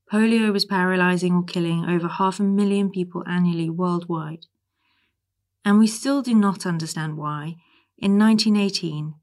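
Lowish-voiced woman reading a formal essay. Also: a little mellow. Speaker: reader of a history article. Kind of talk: scripted narration, reading an essay.

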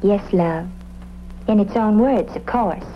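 A woman's voice: mid-range voice, takes it slowly, close to an everyday voice. An actress playing a serene, eccentric, airy woman witness in an old-time radio drama. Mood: airy, literal. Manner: dreamy, airy, calls everyone "love". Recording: muffled, hissy old radio recording.

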